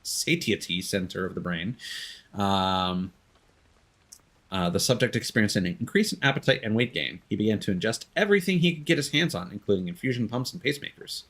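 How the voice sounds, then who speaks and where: A man with a fairly low voice streams a video game. His pitch moves around a great deal as he comments and reads game text aloud. He is playful, with a taste for quips and wordplay. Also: expressive, brisk, a bit bright.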